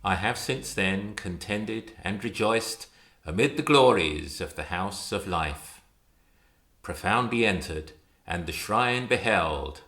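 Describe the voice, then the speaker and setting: Slow, deep-voiced man reciting poetry. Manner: measured, expressive, declamatory. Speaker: poetry reader. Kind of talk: introduced dramatic poetry recitation.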